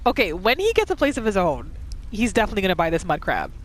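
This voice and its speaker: A woman hosting a gaming video with casual, excitable commentary, exclaiming and narrating what her character thinks. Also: medium pitch, fast talker, rough recording.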